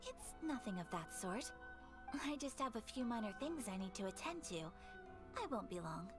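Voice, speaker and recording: A gracious, reserved young lady of a noble clan in a video game. Her voice is high and lively in pitch, with formal, courteous phrasing. Music is clearly heard behind her. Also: moderate pace. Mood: polite.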